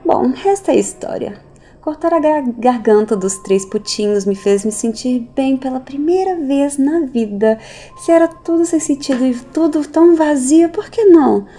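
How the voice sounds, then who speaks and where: A woman's voice: sits high, normal speed, warm tone, soft background music, close to an everyday voice. An actress playing an unhinged, nihilistic woman in an audio drama, musing in a theatrical, sardonic way. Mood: mocking, unhinged. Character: unhinged woman recounting her cultish family's cruelty, mocking, nihilistic.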